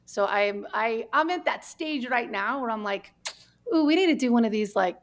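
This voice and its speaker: An American woman from New Mexico telling her personal story candidly in conversation, earnest and halting, repeating words for emphasis. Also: a little high, lively intonation. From New Mexico, United States.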